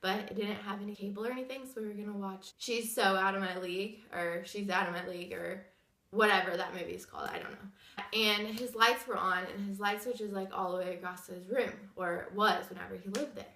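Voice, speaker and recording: A woman's voice: medium pitch, fairly even intonation, a little fast, gravelly, a bit bright, roomy sound. Sick, wheezy young woman vlogging. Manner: chatty, rambling with many fillers. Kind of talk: casual story time chat.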